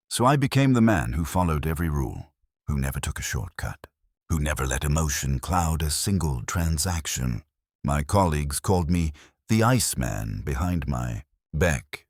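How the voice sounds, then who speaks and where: A man narrating a scripted first-person story in a measured, dramatic storytelling manner. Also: very deep voice, no echo, studio quality.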